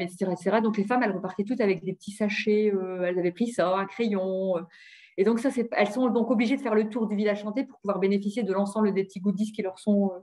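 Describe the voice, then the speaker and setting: A woman's voice: mid-range voice, dark tone. A woman giving an informal webinar slide presentation, often hesitating and repeating words as she looks for her phrasing. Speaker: presenter of local health programmes.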